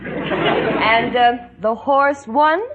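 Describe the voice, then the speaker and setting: A woman's voice: fairly high pitch, extremely slow, phone-quality sound, crowd noise, background noise. A woman playing a sensible, skeptical young best friend with a wry, exasperated, articulate delivery in a 1940s radio sitcom. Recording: old 1940s radio broadcast.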